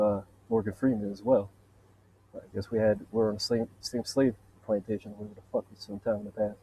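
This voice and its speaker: A man with a deep voice in a rambling, profane, boastful solo monologue, wandering from point to point. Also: darkish tone, husky, dull audio. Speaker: vlogger.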